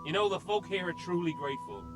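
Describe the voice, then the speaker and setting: A grateful village elder. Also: male voice, sits high, sing-song, fast talker, over music. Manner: warm, pious and grateful. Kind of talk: video game cutscene acting.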